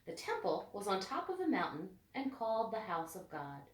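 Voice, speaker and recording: A woman reading a storybook aloud with expressive, deliberate phrasing meant for children. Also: medium pitch. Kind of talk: read-aloud storybook narration. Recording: echoey room, distant mic.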